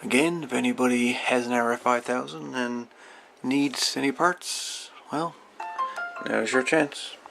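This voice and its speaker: A man's voice: medium pitch, steady tone, unhurried, gravelly, music in the background, poor audio. A man hosting a hobby video, chatting casually and unscripted in a folksy way as he shows things off. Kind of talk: casual show-and-tell of salvaged radio parts.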